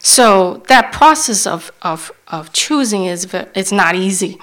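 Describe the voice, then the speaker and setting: Woman interpreter at mid pitch, halting delivery with restarts. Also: animated, a bit bright. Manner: halting, restarts while searching for words. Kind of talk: live sermon interpretation.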